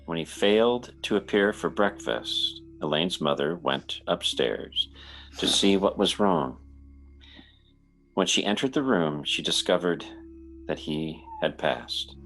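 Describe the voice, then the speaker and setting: A man's voice: low voice, lively intonation, slow pace, fairly bright tone, faint music behind, heavily acted, rough recording. A man playing an earnest, anxious young fiancé in an old-time radio drama, speaking with theatrical hesitancy. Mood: somber narration. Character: anxious, polite young fiancé telling his eerie tale.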